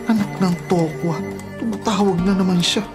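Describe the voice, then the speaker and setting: An actor playing a guilty, lovesick young schemer in a Filipino radio drama. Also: male voice, high voice, fairly even intonation, moderate pace, lightly acted. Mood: flustered, defensive. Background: music.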